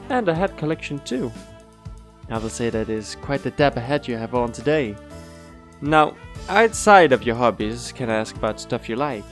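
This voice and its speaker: An actor playing a courteous, lightly teasing school interviewer in an audio drama, formal and measured. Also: male voice, mid-range voice, sing-song, soft background music, natural voice. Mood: amused. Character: courteous, teasing male school interviewer, former talent scout.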